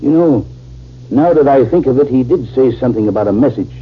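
An actor playing an earnest, measured army general in a 1950s radio drama. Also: male voice, mid-range voice, expressive, close to an everyday voice. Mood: concerned. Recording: tinny, hissy old radio recording.

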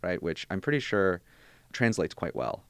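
A man's voice: sits low, big pitch swings, moderate pace, smooth, dry recording. A man in his twenties whose first language is Bosnian, talking thoughtfully in an interview as a graduate student, restarting phrases and adding wry asides. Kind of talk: conversational interview.

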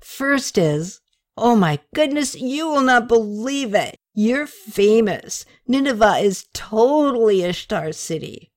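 A woman voicing a giddy, drunk young man who rambles and slurs with excitement. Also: on the high side, slow pace, pitched above the performer's own voice. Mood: tipsy excited.

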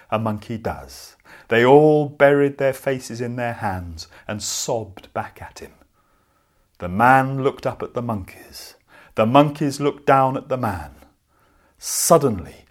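Male storyteller for a children's tale, unhurried, mid-pitched, dramatic cadences and deliberate pauses.

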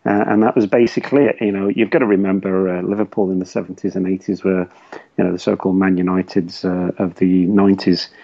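Gravelly middle-aged Midlands man. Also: low voice, flat delivery, fast, warm tone, highs cut off. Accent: English Midlands. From English Midlands. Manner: rambling, frequent fillers and restarts. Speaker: podcast guest. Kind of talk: casual podcast chat.

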